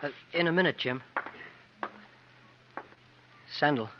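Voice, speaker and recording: A man's voice: a little high, fast, noisy background, close to an everyday voice. A male actor as an earnest boy, muffled radio. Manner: plain-spoken, earnest. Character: earnest, worried boy nephew narrating his uncle's feats. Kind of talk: 1950s radio drama acting and narration. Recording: muffled vintage radio recording with music.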